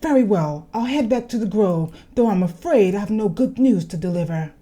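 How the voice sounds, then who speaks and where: A woman voicing a polite male forest guardian from a game, speaking loudly and courteously. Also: medium pitch, lively intonation, normal speed, natural voice. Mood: courtly.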